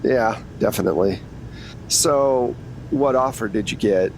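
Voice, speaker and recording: Man as matter-of-fact older female shoplifter, casual and rambling, tabletop roleplay, faint rumbly noise. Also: a little slow, low-quality recording. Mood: chatty. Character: chatty older woman shoplifter in jail, late sixties.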